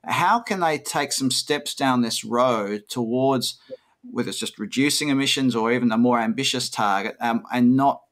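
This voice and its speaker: Male interviewer, 50s, average pitch, measured questioning on a podcast video call. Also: fairly bright tone. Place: bright room, indoors.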